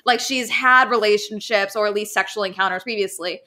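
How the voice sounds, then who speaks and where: A woman's voice: medium pitch, a little fast, pushed and bright. A young woman guest on a podcast, chatting casually and with opinions about a book, with wry asides. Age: young adult. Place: indoors, living room with couch.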